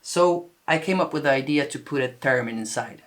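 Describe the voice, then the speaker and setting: Man with medium-high voice, music producer. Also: fairly quick. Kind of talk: casual track breakdown tutorial. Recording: music playing behind the voice.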